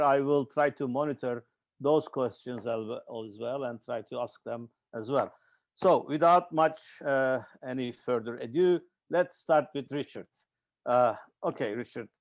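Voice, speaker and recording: A man with a Turkish accent hosts an online panel. His voice sits at a middle pitch with a dry, unechoed sound. He speaks informally and haltingly, often hesitating and repeating words as he goes. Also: dry recording. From Turkey. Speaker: webinar host and moderator. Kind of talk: informal spoken introduction of a panel. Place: online video webinar.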